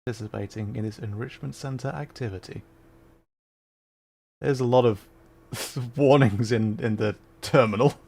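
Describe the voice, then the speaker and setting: A man giving casual livestream commentary as he plays a video game, his voice slightly gravelly. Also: mid-range voice, normal speed, pauses often, darkish tone.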